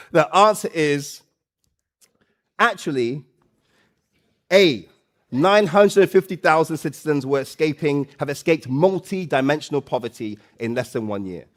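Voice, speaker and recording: A man hosting a live stage event, loud and playful, coaxing the audience and often repeating phrases. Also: on the high side, animated, measured pace, long pauses. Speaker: event host and MC.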